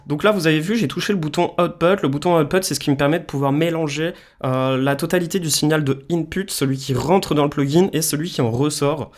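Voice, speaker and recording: A man explaining music software step by step in a casual tutorial style. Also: a little high, fast, few pauses. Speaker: music production tutorial presenter.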